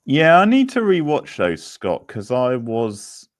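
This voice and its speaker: A man hosting a livestream quiz, chatting casually in an unhurried, rambling way as he replies to viewers. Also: mid-range voice, wildly swooping pitch, dry recording, studio quality.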